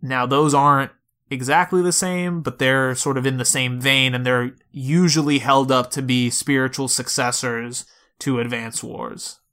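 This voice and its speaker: Bright-voiced man in casual podcast talk. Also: medium pitch, fairly even intonation, measured pace. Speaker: podcast co-host. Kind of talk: casual video game discussion.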